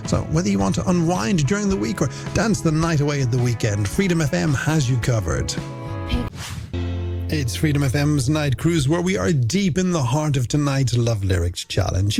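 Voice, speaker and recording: A man's voice: on the high side, gravelly, darkish tone, husky. A man presenting a late-night music radio show, chatting playfully and directly to listeners. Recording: radio broadcast over music bed.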